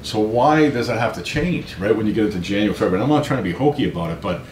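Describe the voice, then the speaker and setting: A man's voice: animated, racing, a little mellow. A man talking as an interview guest, earnest and emphatic, often checking in with a questioning tag. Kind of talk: conversational interview. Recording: roomy echo, low rumbling background noise.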